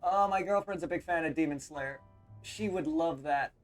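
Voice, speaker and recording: A man's voice: a little high, normal speed, soft background music. A man streaming live, chatting casually with viewers, thanking them and swearing freely.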